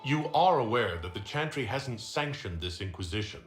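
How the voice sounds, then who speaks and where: An actor playing a composed, direct fantasy hero in a video game, speaking politely and formally, with a probing manner. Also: male voice, medium pitch, animated, normal speed, close to an everyday voice. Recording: reverberant room with faint music underneath.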